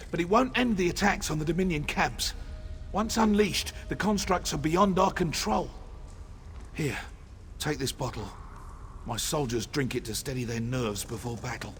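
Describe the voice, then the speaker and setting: An actor playing a bitter, vengeful rebel commander in a fantasy video game, speaking in a theatrical, impassioned way. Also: male voice, a little high, slight background noise. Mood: subdued, resigned. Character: bitter, vengeful male rebel commander grieving his father.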